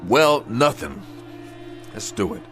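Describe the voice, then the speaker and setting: An actor playing a proud, bitter, aging boxer in a radio drama, talking in street-tough slang. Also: male voice, mid-range voice, big pitch swings, slow, gravelly, fairly bright tone, exaggerated voice. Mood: weary, bitter. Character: proud, bitter over-the-hill male boxer named Bolie Jackson. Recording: studio radio play with music underneath.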